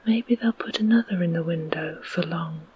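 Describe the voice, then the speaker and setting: A storyteller voicing a loyal, gentle young boy, still in a soft whisper. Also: medium pitch, normal speed, a little hiss or hum, natural voice. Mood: comforting.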